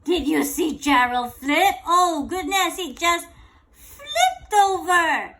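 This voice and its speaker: A woman with a high voice reads a bedtime story aloud, swinging widely in pitch. She takes it slowly, repeats phrases and keeps checking in with the child through simple questions. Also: big pitch swings, a bit bright. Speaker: storyteller reading a picture book to a child. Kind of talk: interactive bedtime read-aloud.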